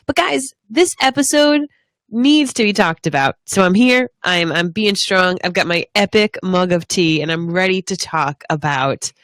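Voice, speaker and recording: A woman co-hosting a TV recap podcast, chatty and excitable, sounding stuffy from a cold. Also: no echo. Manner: chatty and excitable, quick bursts of high pitch. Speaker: podcast co-host. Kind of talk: casual TV recap chat.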